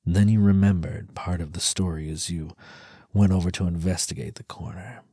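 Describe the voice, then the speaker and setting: Male ASMR narrator, low and mellow, breathy husk, slips into whispers. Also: steady tone, moderate pace, dry recording, clean studio sound. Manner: hushed, slipping into whispers. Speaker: audio storyteller. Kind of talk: second-person erotic ASMR narration.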